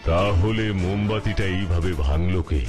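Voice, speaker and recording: A man voicing a grave, inquisitive superhero detective in a cartoon, speaking in a deliberate, dramatic, questioning way. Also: low voice, fairly even intonation, close to an everyday voice. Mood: grave, suspicious. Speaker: detective hero of the show. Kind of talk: cartoon voice acting. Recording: music behind the voice.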